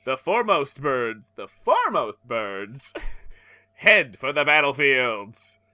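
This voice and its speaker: A man's voice: mid-range voice, takes it slowly, dry recording. A man co-hosting a comedy podcast, reading a comic aloud and laughing at it between lines. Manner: animated, often laughing at the text. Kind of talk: comedic dramatic reading with banter.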